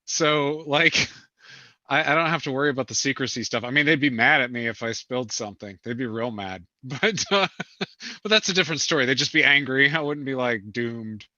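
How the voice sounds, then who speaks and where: A man on a convention panel who talks in a relaxed, joking way and laughs often. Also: medium pitch, normal speed, no room sound. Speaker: panelist author. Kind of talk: casual panel talk. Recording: dry, dull lo-fi mic.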